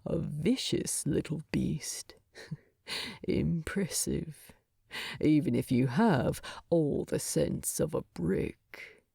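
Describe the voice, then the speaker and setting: Wounded dwarf king played by a woman, storybook fantasy: lowered, breathy rumble, gruff and fond. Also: very slow, warm tone, dry recording, clean studio sound, full character voice. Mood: fond, teasing. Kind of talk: fan fiction audiobook character voice.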